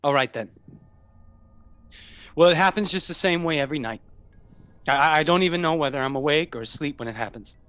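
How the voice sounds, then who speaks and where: An actor playing a troubled, haunted young man, a younger brother, in a halting radio drama performance. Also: a little high, expressive, a bit bright, clear, resonant tone, no room sound. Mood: subdued. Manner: hesitant, halting.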